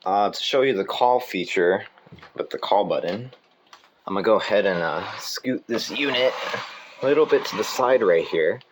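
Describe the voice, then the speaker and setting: A man casually presenting equipment as a hobbyist, in an informal, halting manner, correcting himself as he goes. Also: lowish voice, lively intonation. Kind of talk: casual hands-on demonstration. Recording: clear background noise.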